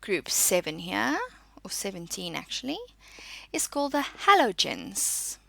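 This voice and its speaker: A woman's voice: medium pitch, big pitch swings, measured pace, gravelly, bright, forceful tone, dry recording. A woman teacher giving an instructional school lesson, reading notes and explaining exercises, posing questions and answering them. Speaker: science teacher.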